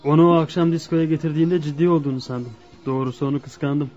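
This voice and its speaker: A man acting a jealous, smooth-talking young suitor in a Turkish radio drama. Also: on the high side, steady tone, fast talker, darkish tone, natural voice. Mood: jealous, curious. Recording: muffled lo-fi radio recording, music behind.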